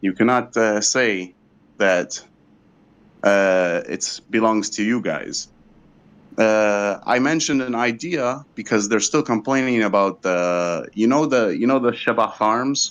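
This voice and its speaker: A man's voice: lowish voice, moderate pace, fairly bright tone, muffled recording. A man calling into a live show, talking casually and searching for his words as he explains. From United States-born, of Lebanese background. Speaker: caller. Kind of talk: casual discussion.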